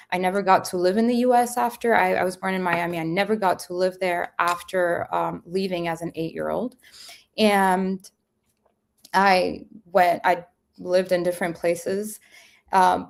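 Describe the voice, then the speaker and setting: A woman's voice: fairly low pitch, gravelly. A woman guest telling her personal story in an earnest, spiritual, meandering way with many fillers. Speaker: guest, event company founder. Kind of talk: reflective personal storytelling in conversation.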